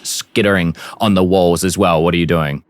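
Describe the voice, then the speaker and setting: A man's voice: low voice, steady tone, moderate pace, dry recording, studio quality. A man running a tabletop roleplaying game, describing scenes vividly to the players as game master.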